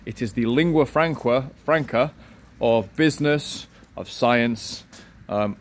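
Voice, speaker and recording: A man's voice: mid-range voice, slow. Guy in his 30s vlogging outside, cheeky and flirty about languages, bit of traffic behind. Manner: playful, flirtatious about languages, self-assured. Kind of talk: casual vlog monologue. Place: outdoors in a city street, by a wall.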